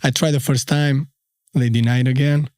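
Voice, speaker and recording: A man in his thirties from Albania with an Albanian accent, a podcast guest telling his story in a halting, easygoing way with many fillers. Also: mid-range voice, fairly even intonation, a little mellow, clean tone, dry recording, clean studio sound. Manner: unhurried, halting, frequent fillers. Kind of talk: casual storytelling interview.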